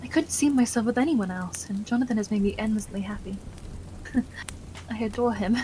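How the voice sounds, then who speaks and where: A woman playing a loving, caring, genteel wife in an ASMR roleplay, gentle and affectionate with old-fashioned politeness. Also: mid-range voice, moderate pace, a little hiss or hum, lightly acted, rough recording. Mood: adoring.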